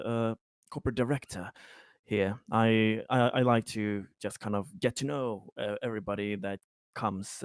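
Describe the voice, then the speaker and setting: He is a courteous, affable colony director from a tabletop game as a man acts him out. His voice is mid-pitched and he chats politely, feeling his way haltingly through sentences. The sound is dry and close. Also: a little mellow, dry recording, close to an everyday voice.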